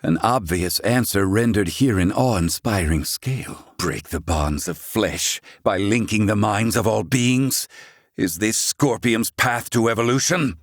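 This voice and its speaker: A man acting a proud, gruff, middle-aged inventor in a fantasy video game, with formal, haughty, theatrical diction. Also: fairly low pitch, unhurried, dry recording, clean studio sound. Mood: reflective, earnest.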